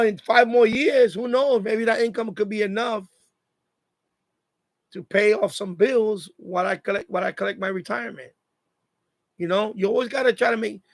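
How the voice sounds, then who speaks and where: A man in his fifties with a high voice that often breaks into gravelly creak. He chats casually like a live stream host, circling back over phrases to drive advice home. The sound is close and dry. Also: no room sound. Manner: repeats phrases for emphasis, advice-giving. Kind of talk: casual live chat monologue.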